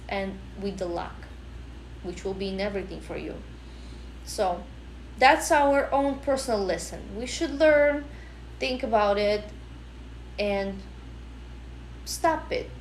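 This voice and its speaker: A woman's voice: medium pitch, roomy sound, slight background noise, poor audio. A woman from Russia in her thirties, speaking English with a Russian accent in a rambling, casual livestream. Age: 30s. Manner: non-native English, rambling. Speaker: livestream host.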